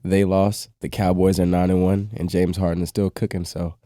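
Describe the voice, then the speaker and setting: A man's voice: low voice, little pitch movement, normal speed, warm tone, no room sound, studio quality. A man giving casual sports commentary as a panelist on a podcast.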